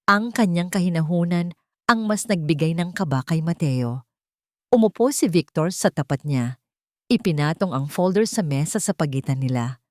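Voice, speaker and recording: A woman's voice: on the low side, expressive, normal speed, a little mellow, dry recording, studio quality. A woman narrating a scripted dramatic story.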